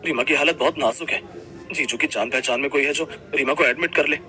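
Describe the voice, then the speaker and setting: A man's voice: medium pitch, a little fast, pushed and bright. A man voicing an earnest, fretful young brother and husband in a cartoon family story. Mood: worried.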